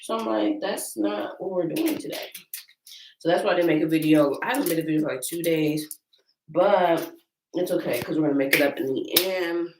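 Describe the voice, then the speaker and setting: An American woman with a deep voice roughened by a cold, talking informally to viewers as host of a Bible-reading vlog. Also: moderate pace, background noise. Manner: informal, chatty, addressing viewers as y'all. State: getting over sickness. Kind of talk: casual chat and reading scripture aloud. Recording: roomy echo, distant microphone.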